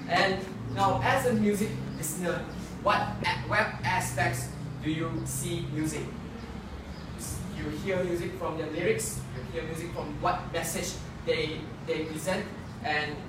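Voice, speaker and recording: A young man giving an earnest, argumentative debate speech, often stopping to correct himself. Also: fairly high pitch. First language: not English. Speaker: student debater. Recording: echoey room picked up from far off, background noise.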